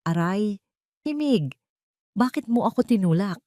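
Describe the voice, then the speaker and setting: A woman's voice: mid-range voice, expressive, measured pace, mellow, no echo, studio quality, voice pushed up, close to an everyday voice. A Filipino woman voicing a glamorous scheming mistress in an audio drama, cold, taunting and falsely sweet. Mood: fake hurt. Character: haughty, scheming glamorous mistress, sugary and mean.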